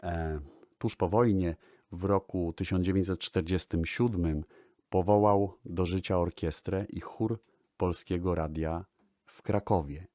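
Man, deep register, radio-style presenter, unhurried, pausing delivery, digressive music history talk. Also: steady tone, warm tone, no room sound, clean studio sound. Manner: deliberate, with hesitations and pauses.

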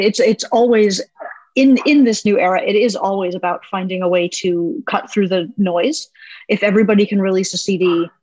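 A woman's voice: mid-range voice, a little mellow, dry recording, poor audio. A woman hosting an interview podcast, summing up her guest's points in an engaged, thoughtful way. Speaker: podcast host.

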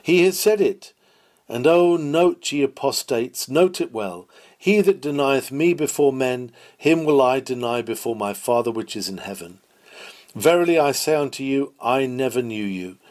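A man's voice: medium pitch, lively intonation, moderate pace, a little mellow. A man reading a Victorian sermon aloud with solemn, oratorical, exhorting delivery. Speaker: reader of historical sermons.

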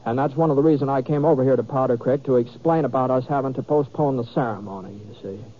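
An actor playing a bashful, easygoing cowboy in a 1950s radio western, speaking in a halting, folksy, hesitant way. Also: male voice, mid-range voice, lightly acted. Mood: sheepish, evasive. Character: bashful, stammering, easygoing cowboy stalling a wedding. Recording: muffled, tinny 1950s radio recording.

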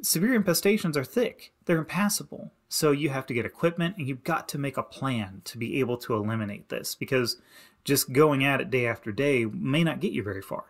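Guy from indiana walking through slides, practical how-to advice, mid pitch. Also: a little fast. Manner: plain, practical and advising, with many "you need to" instructions. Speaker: extension educator. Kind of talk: narrated slideshow lesson. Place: voice-over to slides.

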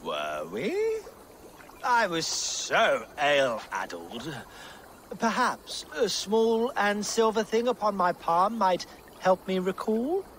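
An actor playing a tipsy, greedy, cowardly medieval storyteller in a video game, with a theatrical, rambling delivery. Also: male voice, high-pitched, big pitch swings, slow pace, fairly bright tone, slight background noise, exaggerated voice. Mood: sly, groggy.

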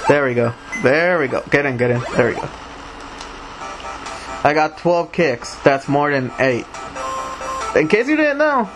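A man's voice: on the high side, sing-song, unhurried, pauses often, low-quality recording. A man with a Mexican accent giving casual commentary over a game, grumbling, talking back to the characters on screen and humming to himself. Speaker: let's play commentator. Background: retro game music.

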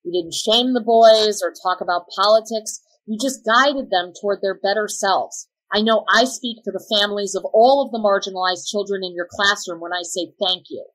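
A woman's voice: mid-range voice, normal speed, hardly any pauses, a bit bright, natural voice, rough recording. A woman playing a supportive, earnest school parent in a scripted video call dramatization. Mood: grateful. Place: home room, video call.

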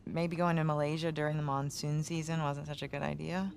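An actress playing a caring, worried American wife in a video game, gentle and conversational. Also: female voice, deep voice, flat delivery, a little fast, creaky, a little hiss or hum. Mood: concerned, tender.

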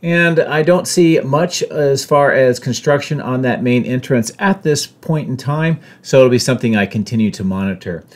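A man in his fifties giving a measured, matter-of-fact explanatory narration as a drone vlogger. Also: mid-range voice. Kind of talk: explanatory site-update narration.

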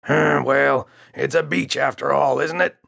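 A man voicing a gruff, dismissive father in a children's storybook, gravelly, grunting and scornful. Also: mid-range voice, steady tone, measured pace, a bit bright, voice pushed up, exaggerated voice. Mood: dismissive, grumbling.

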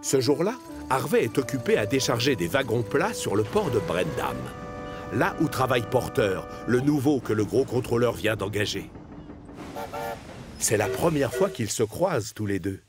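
A man narrating a children's cartoon as a friendly, expressive storyteller. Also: medium pitch, moderate pace, over music, close to an everyday voice. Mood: storytelling.

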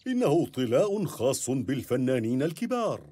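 A man's voice: medium pitch, big pitch swings, a little fast, lightly acted. An actor voicing a cheerful, playful father pig in a dubbed cartoon, jokey and chuckling. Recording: music bed under voice.

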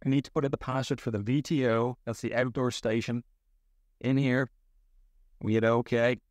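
A man giving a step-by-step software tutorial as a tech support instructor. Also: medium pitch, fairly even intonation, normal speed, dry recording, clean studio sound.

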